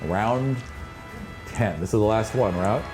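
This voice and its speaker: A man's voice: on the low side, slow, loud music behind the voice. A man narrating a tabletop fantasy game as game master, moving conversationally between rules talk and scene description.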